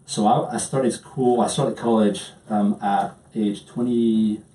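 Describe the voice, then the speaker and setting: A man's voice: lowish voice, fairly even intonation, takes it slowly, dark tone. A man in his thirties from France with a French accent, telling his life story as a podcast guest, with many ums and restarts. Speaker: interview guest. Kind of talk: casual storytelling. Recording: roomy, distant mic.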